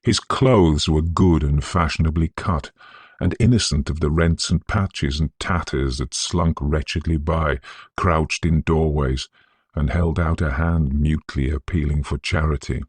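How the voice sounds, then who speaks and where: Very deep, husky male narrator. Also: no room sound. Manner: scholarly, measured, atmospheric delivery. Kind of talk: scripted narration of a Victorian ghost story.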